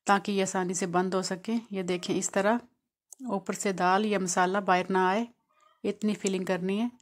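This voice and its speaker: A woman from Pakistan hosting a home cooking channel, calmly walking through each step of a recipe in a voice-over. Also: mid-range voice. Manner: calm, explanatory, walks through each step.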